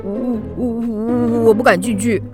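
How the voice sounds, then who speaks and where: Woman as timid, slow-talking high-voiced schoolchild. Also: steady tone, music in the background, natural voice. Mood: timid, reluctant. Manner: hesitant, stammering, drawn-out whining. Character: timid, spooked primary school child. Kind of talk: children's radio drama acting.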